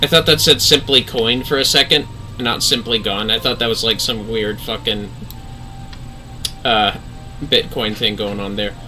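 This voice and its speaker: A man's voice: mid-range voice, steady tone, measured pace, bright, forceful tone, soft background music. A man giving casual, sweary and jokey livestream commentary on a game, often exclaiming. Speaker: livestream host.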